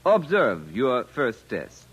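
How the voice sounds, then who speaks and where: Alien official, 1950s radio drama: pompously formal, precise, husky, very animated intonation. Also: male voice, medium pitch, takes it slowly, highs cut off, heavily acted. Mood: instructing. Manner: stiffly formal, precise foreign-sounding phrasing without idiom. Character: formal, precise, smug male alien official overseeing a contest. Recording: vintage 1950s radio recording.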